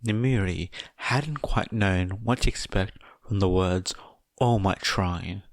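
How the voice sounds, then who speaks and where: A man reading a story aloud as scripted narration, in a measured, deliberate way. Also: low-pitched, dry recording, clean studio sound. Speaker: audiobook narrator of fan fiction.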